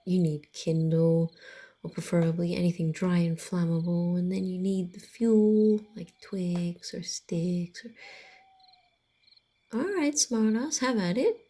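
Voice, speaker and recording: A woman playing a haughty, sardonic female vampire in an ASMR roleplay, speaking softly and slowly, often slipping into a whisper, with a condescending, teasing drawl. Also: fairly low pitch. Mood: bossy, mocking. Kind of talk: ASMR roleplay acting, close and intimate.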